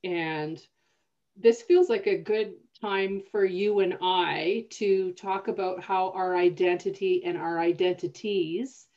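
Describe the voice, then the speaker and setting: A woman's voice: on the low side, fairly even intonation, slow pace, darkish tone, lo-fi sound. A woman co-hosting a casual conversation, thinking aloud with small restarts. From Canada. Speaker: co-host. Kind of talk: casual conversation over video call.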